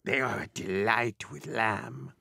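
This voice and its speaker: An actor playing a mischievous, food-loving French gentleman who presents a radio ghost-story show, speaking with affected, theatrical formality. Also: mid-range voice, takes it slowly, creaky, no room sound, clean studio sound, heavily acted. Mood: genial. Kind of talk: radio drama acting.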